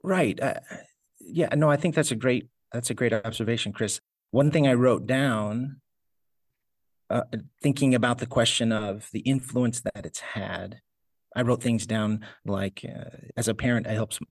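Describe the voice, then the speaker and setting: A man's voice: mid-range voice, unhurried, no room sound, clean studio sound. A man working as a homesteader and teacher, giving thoughtful interview answers with frequent restarts. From United States. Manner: thoughtful, searching, many restarts.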